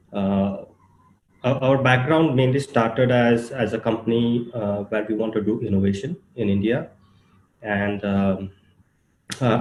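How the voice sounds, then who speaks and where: Low, flat-voiced man with a cold. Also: reverberant, a little hiss or hum, rough recording. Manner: halting, many ums and uhs. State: has a cold. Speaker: startup founder panelist. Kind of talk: virtual panel talk. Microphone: video call.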